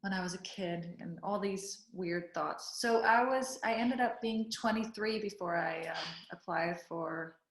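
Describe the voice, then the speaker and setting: Danish woman with a roomy echo. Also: fairly low pitch. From Denmark. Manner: laughs often, halting, searching for words. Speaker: guest actor. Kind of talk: casual interview chat.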